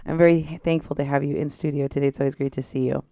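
A woman from Texas with a low, smooth voice hosts a relaxed radio chat. She talks easily, with plenty of ums along the way. The studio sound is dry and close. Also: very fast, no echo. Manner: chatty, warm hosting with fillers. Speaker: radio host.

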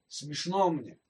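A man's voice: fairly high pitch, darkish tone, far from the mic. A male pastor giving a sermon in a conversational way, speaking straight to his listeners and asking them rhetorical questions.

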